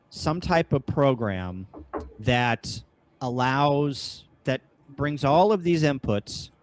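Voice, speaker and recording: An American man hosting a casual livestream, talking through ideas in halting, short phrases. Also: medium pitch, darkish tone, no room sound. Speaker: host of a ham radio livestream. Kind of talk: casual livestream brainstorming talk. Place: upstairs room at home, kitchen table.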